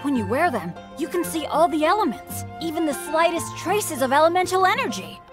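An unlucky young boy adventurer from a video game, with a highish, lively voice. He is hesitant and self-effacing, yet readily excitable, and music plays clearly behind him. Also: fairly quick. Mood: excited. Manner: earnest, hesitant, bashful, easily excitable. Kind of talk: English video game character dialogue.

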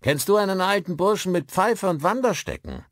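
A man voicing a hearty, helpful village landlord with a loud, familiar manner. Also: medium pitch, huge pitch swings, slow, gravelly, breathy edge, no echo, clean studio sound, pitched above the performer's own voice, heavily acted.

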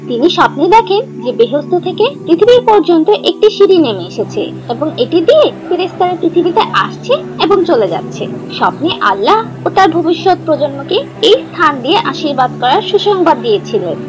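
Female storyteller for children's cartoon narration, very high and highly animated, music underneath. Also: fairly quick, low-quality recording. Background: background music.

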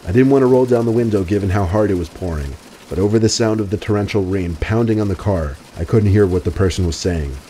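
A man narrating scripted true horror stories in a steady, even way. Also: fairly low pitch, quick pace, few pauses, slight background noise. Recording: faint music under the voice.

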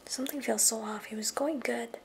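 A woman's voice: fairly high pitch, fairly even intonation, fairly quick, creaky, very noisy. A young adult woman, an ASMR creator, mostly whispering in an informal, candid way close to the mic. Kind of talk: ASMR roleplay bloopers, whispered and soft-spoken. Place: small decorated bedroom studio indoors.

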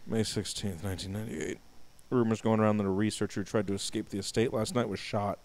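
A man's voice: lowish voice, steady tone, fast talker. Man reading a game diary aloud. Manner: laughs loudly, reads the diary with mock drama. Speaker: let's play co-host. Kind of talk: casual commentary and dramatic diary reading.

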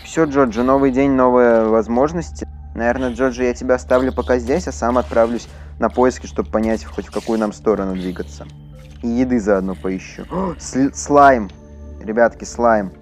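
A man's voice: mid-range voice, moderate pace, faint music behind. Casual male gamer chatting over gameplay. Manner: chatty, talks to his horse and to viewers. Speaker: gaming YouTuber. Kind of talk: casual Minecraft let's play commentary.

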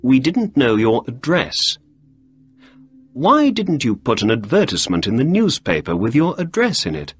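A man voicing a clever, brisk middle-aged male detective in an audiobook story. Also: mid-range voice, huge pitch swings, slightly rough, soft background music, pitched above the performer's own voice. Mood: apologetic.

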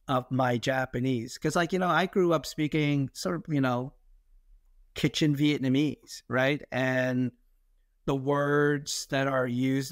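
A man's voice: on the high side, no room sound, studio quality. A middle-aged man with an American accent, talking in a reflective, hesitant, conversational way as a guest telling his own story in an interview. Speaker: podcast guest, investor.

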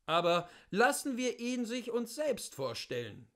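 A man reading classic literature aloud as a host, with deliberate, theatrical phrasing and weighty pauses. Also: extremely high pitch, sing-song. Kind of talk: expressive literary reading, scripted narration.

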